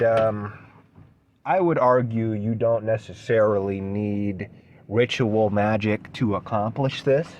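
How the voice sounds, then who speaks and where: A man with a lowish voice talks through an esoteric subject at an unhurried, deliberate pace, often pausing to hunt for the next word. A faint hiss of background noise sits under his voice. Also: darkish tone, muffled recording. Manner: slow, measured, with frequent ums and restarts. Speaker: esoteric channel host. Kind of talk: unscripted solo talk on theurgy.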